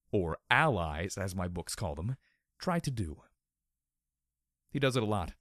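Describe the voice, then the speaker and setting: A man reads a story with theatrical, mock-serious drama. His mid-pitched voice swings widely for effect, and the recording is dry with no room sound. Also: no echo. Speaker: story narrator. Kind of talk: overly dramatic scripted narration.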